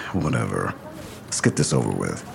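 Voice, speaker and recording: A man's voice: a bass voice, huge pitch swings, measured pace, creaky, a little hiss or hum, exaggerated voice. An actor playing a hostile, evasive ex-convict stepfather in an audio drama, curt and dismissive with coarse street talk. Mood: sullen impatience.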